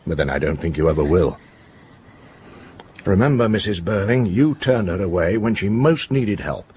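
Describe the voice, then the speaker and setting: An actor playing a stern, righteous middle-aged police inspector in a radio drama, speaking with deliberate, commanding, moralising authority. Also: male voice, low-pitched, lively intonation, a little mellow, a little hiss or hum. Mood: accusing.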